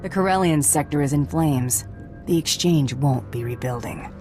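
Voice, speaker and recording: An actress playing a tough, mercenary bounty hunter in a video game, blunt and cool. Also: female voice, deep voice, moderate pace, music in the background, natural voice. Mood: cocky, hard.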